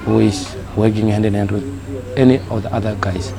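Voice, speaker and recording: Low flat-voiced guy, plain steady answer, crowd noise behind. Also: brisk, gravelly. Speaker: reserve staff member. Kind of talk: interview answer.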